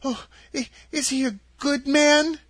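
A storyteller voicing an anxious, lost little girl who pleads to get home, in a raised, breathy voice for a storybook reading. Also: mid-range voice, big pitch swings, measured pace, fairly bright tone, no room sound, voice pushed up, full character voice.

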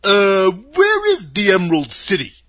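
A man voicing a friendly, mournful, simple-minded scarecrow in a storybook, husky and hesitant, often opening with a drawn-out "uh". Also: sits high, huge pitch swings, a bit bright, exaggerated voice. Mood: puzzled, wistful. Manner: hesitant, opens phrases with drawn-out "uh".

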